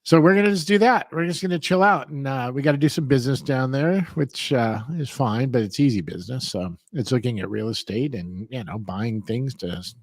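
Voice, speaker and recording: A man's voice: medium pitch, brisk, few pauses, dry recording. A man co-hosting a talk show in casual chat, joking and teasing, laughing often, on a close studio mic. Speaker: co-host.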